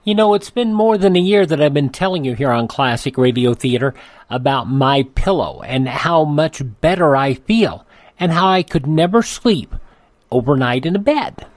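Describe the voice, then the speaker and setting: A man's voice: fairly high pitch, animated, moderate pace, dry recording. An older man hosting a radio show, reading an ad in a rambling, folksy, conversational way. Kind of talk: conversational ad read and show introduction.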